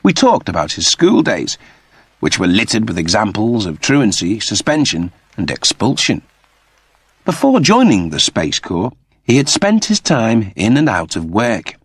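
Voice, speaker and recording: A man reading a scripted story as an expressive audiobook narrator. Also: on the low side, slightly rough, no room sound, studio quality.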